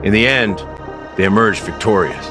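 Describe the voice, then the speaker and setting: A man playing a world-weary officer in a video game, telling history in a measured, dramatic way over background music. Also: fairly low pitch, close to an everyday voice. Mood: solemn narrating. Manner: measured, dramatic, deliberate storytelling delivery. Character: world-weary male officer, sly, grave storyteller guide. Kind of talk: video game story dialogue.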